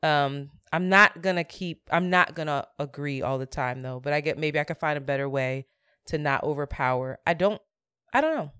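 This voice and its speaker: A woman's voice: sits low, normal speed, a bit bright, clear, resonant tone, no room sound, studio quality. A woman co-hosting a podcast, talking earnestly and reflectively in casual chat, thinking aloud as she goes. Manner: earnest and reflective, talks through her thoughts. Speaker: podcast co-host.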